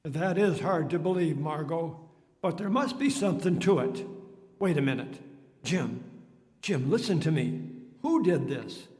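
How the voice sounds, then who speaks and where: A man playing a calm, commanding, mysterious crime fighter in a 1930s radio drama, speaking earnestly and deliberately in a reverberant space. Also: on the high side. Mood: urgent, concerned. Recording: reverberant hall sound.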